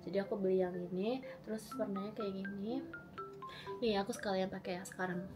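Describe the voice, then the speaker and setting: A young woman in her twenties, slightly hoarse from a recent cold, chatting casually and rambling with lots of fillers and giggles while she does her makeup at home. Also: mid-range voice, steady tone, a little fast, music in the background. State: recovering from a cold. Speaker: beauty vlogger. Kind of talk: casual makeup vlog chat.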